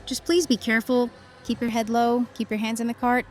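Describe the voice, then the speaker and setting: A woman's voice: fairly high pitch, level tone, fairly quick, a little mellow, lightly acted. A woman acting as a worried, sensible young friend in a horror audio drama, speaking in a cautious, disapproving and pleading manner.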